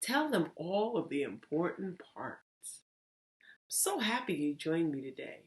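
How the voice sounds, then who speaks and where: A woman narrating a children's story as a reading teacher, deliberate and theatrical in her phrasing. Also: fairly low pitch, very animated, darkish tone, poor audio. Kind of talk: expressive children's read-aloud narration.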